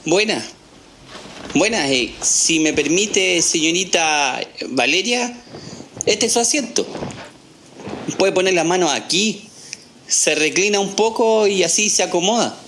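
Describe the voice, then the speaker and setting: A man's voice: high-pitched, expressive, a little slow, pauses often, a bit bright. An actor playing a courteous, methodical male technician in an audio drama, giving short instructions in a deferential, procedural way. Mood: courteous, obliging. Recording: clearly noisy recording.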